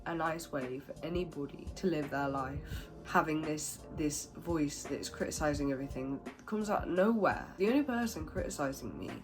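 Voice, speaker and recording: Low, nasal-sounding woman with a cold, candid and self-critical, soft music underneath. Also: lively intonation, moderate pace, few pauses, poor audio. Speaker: vlogger. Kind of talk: reflective personal monologue.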